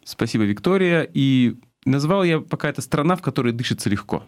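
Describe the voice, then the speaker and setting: A man in his forties giving political commentary as a radio host, speaking haltingly with pauses. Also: medium pitch, lively intonation, dry recording, studio quality. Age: 40s. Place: radio studio.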